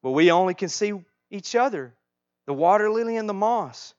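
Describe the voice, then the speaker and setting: A man's voice: sits high, animated, moderate pace, dry recording, muffled recording, voice pushed up. A man voicing an anxious, doubting mother and father in a children's storybook. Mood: troubled.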